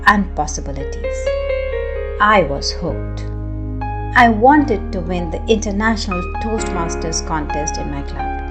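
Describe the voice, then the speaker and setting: Woman, story narrator, slow measured reading, lowish voice, music underneath. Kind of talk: scripted narration of a personal story.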